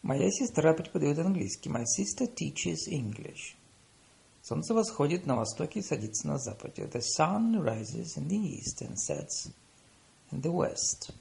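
A man reading paired lesson phrases, saying the English slowly and deliberately after quicker Russian. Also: on the high side, sing-song, vocal fry, dark tone. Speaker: language teacher.

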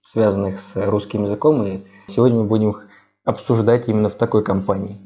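A man hosting a podcast and asking questions in a relaxed, friendly way. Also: fairly low pitch, a little fast. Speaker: podcast host. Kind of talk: casual conversational interviewing. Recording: dull lo-fi sound.

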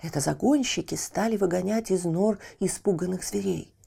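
A woman reading a children's fairy tale as an expressive storyteller. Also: fairly low pitch, normal speed, gravelly, breathy edge.

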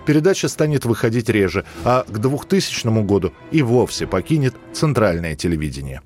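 A man's voice: mid-range voice, darkish tone. A man presenting a radio programme, reading scripted narration about past events in a studio. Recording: music bed under the voice. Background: background music.

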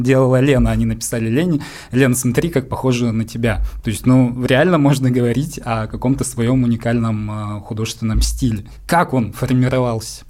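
A man's voice: mid-range voice, dark tone. A man hosting a casual, conversational interview, asking questions and sharing his own examples. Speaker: interviewer, writer.

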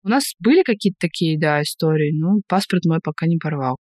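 A woman's voice: sits low, expressive, a little fast, darkish tone, no room sound, studio quality. A woman co-hosting a podcast, chatting casually and explaining things in a talkative way. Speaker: podcast co-host and parent. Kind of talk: casual conversational podcast talk.

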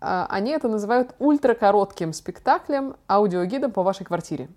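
A woman's voice: medium pitch, expressive, fairly quick, a little mellow. Woman hosting a casual, explanatory podcast. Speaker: podcast host.

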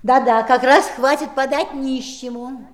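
A woman playing a brisk, stern, cross nanny in a children's audio play, snappish and commanding. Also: high-pitched, fairly even intonation. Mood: scornful.

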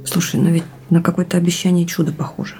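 Actress as a fast-talking, caring wife. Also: female voice, lowish voice, little pitch movement, mellow, soft background music, lightly acted. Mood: worried, coaxing. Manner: probing, supportive. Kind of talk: naturalistic audio drama dialogue.

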